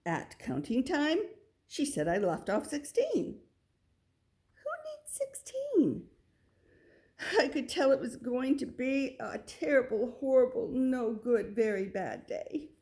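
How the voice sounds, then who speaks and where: A woman reading a children's picture book aloud, with expressive delivery and little asides to the listener. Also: high voice, takes it slowly. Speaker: storybook reader.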